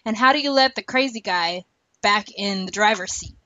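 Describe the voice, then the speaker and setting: A woman's voice: medium pitch, moderate pace, pushed and bright, dry recording. A woman hosting a podcast, chatting casually and colloquially with strong opinions. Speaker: podcast host. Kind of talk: casual TV discussion chat. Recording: dull, muffled lo-fi audio.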